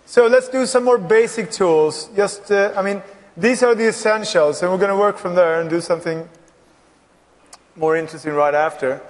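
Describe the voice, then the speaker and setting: High-voiced man in his forties, joking. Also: moderate pace. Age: 40s. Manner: bantering, jokey, laughs often. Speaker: security presenter. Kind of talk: joking conference co-presentation. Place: large conference hall. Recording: long hall reverb.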